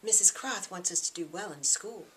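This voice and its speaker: Audiobook role: loyal, sweet young woman, female narrator acting her, bright tone, insistent. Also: fairly low pitch, expressive, normal speed, rough recording. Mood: admiring.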